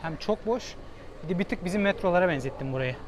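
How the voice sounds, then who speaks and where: Casting: male travel vlogger, slightly high voice, casual talk aloud, noisy metro hall behind. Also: expressive, quick pace. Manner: chatty, thinking aloud, switching to English with his companion. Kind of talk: casual travel vlog narration. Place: metro station and train, indoors. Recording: noisy public space.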